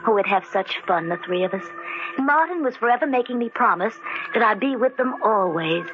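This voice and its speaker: Actress as spirited young housekeeper, muffled old radio. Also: female voice, fairly high pitch, a little fast, over music, natural voice. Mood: wistful. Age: young adult. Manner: earnest, expressive. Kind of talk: 1940s radio drama acting. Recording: dull, noisy old radio recording.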